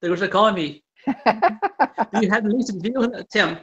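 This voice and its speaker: An excitable man in his thirties calls in on a phone held right up to his face in a small tiled room. His voice is high and bright with a sharp, forward edge. He reacts fast and exclaims often. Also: slightly rough, muffled recording. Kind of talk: casual video call chat. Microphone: phone held close to the face.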